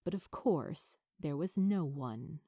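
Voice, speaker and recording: Woman reading an audiobook, unhurried, warm dark tone, pitch moves around a lot, dramatic pauses. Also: animated, husky, no echo, studio quality. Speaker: fan fiction narrator. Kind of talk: expressive scripted audiobook narration.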